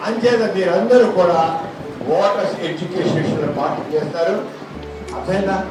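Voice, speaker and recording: A man's voice: sits high, a little fast. A man giving an emphatic awareness speech to students, repeating points and questioning listeners. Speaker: public speaker addressing students. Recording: echoey, distant mic, music over it. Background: music.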